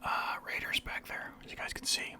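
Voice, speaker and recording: A man's voice: normal speed, sharp tone. A young man from Canada whispering through a casual, rambling list of favorites as a vlogger. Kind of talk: whispered ASMR list chat.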